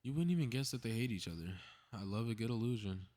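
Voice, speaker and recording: A man's voice: medium pitch, animated, fast talker, warm tone, lightly acted. A man acting a cocky, mischievous young male superhero in a scripted ASMR audio drama, muttering sarcastic, casually profane asides. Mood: scheming, amused.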